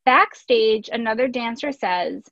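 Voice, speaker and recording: A woman's voice: a little high, animated, a little slow, gravelly, fairly bright tone. A woman reading a picture book aloud as a teacher, expressively, with instructive asides. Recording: echoey room, dull lo-fi audio.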